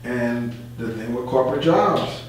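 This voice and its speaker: Man in a very echoey room, speaking haltingly. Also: medium pitch, animated. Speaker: community board member and guest. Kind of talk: earnest discussion on a radio talk show. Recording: very echoey room, distant mic, faint hum.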